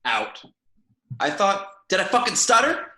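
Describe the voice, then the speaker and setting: A man's voice: sits high, wildly swooping pitch, measured pace, bright, forceful tone, heavily acted. A man playing a swaggering, hot-tempered, foul-mouthed teenage bully in an amateur script read, aggressive and sarcastic. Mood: angry, sarcastic. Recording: echoey room.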